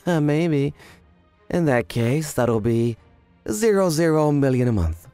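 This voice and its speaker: Male actor, shrewd underworld informant, cool, measured, businesslike, manga dub, music behind. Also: a little high, expressive, mellow, clear, resonant tone, no room sound. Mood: cool, sly. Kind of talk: animated manga dub dialogue.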